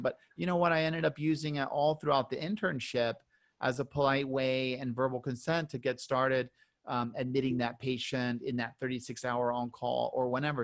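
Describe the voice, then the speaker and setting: A man, a doctor, talking earnestly as a webinar panelist over a video call, often repeating words. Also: mid-range voice. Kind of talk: webinar discussion.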